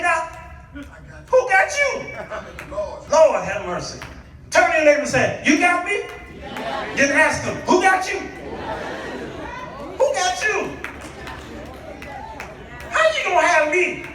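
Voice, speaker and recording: Male preacher, high and bright voice, dramatic repeated punchlines, call-and-response delivery, recorded in a big echoey church. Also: big pitch swings, slow, long pauses, some chatter behind. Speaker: guest preacher. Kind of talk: animated sermon with repetition and call-and-response. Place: church sanctuary before a responding congregation. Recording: very echoey hall, distant microphone.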